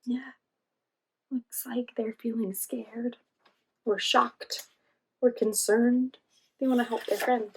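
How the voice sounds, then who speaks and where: A woman reading a children's storybook aloud as host, pausing in a teacherly way to guide young listeners. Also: a little high, fairly even intonation, a little mellow, a little hiss or hum, poor audio. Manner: teacherly, asks listeners questions and guides them.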